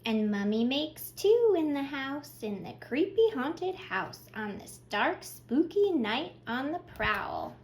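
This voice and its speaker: A woman's voice: high voice, sing-song, slow pace, hardly any pauses, slight background noise. A woman hosting a children's storytime, reading aloud expressively and speaking to young listeners with simple questions and praise. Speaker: children's librarian, storytime host.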